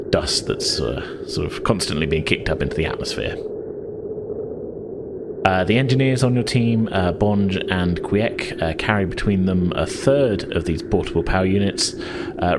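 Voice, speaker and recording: A man with a very low voice runs a tabletop role-playing game as game master. He describes scenes in a hesitant, improvised way, with clear noise on the recording.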